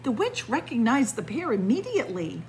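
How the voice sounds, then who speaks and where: A woman reading a picture book aloud as a library story time host, expressive and pausing deliberately between phrases. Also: on the high side, slight background noise. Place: indoors in a library, among bookshelves.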